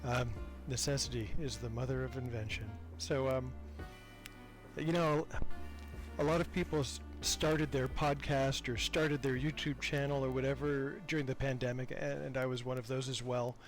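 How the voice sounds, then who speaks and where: A man's voice: medium pitch, steady tone, normal speed, faint music behind. An older man chatting casually as a podcast guest, talkative and self-deprecating. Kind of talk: casual interview chat.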